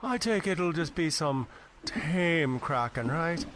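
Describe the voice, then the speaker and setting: A naive, prissy male tourist, voiced by a man gushes in a high, fussy voice. He is giddy and easily delighted, in an amateur audio drama with slight noise. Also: animated, takes it slowly, fairly bright tone. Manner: effusive, fussy, hesitant.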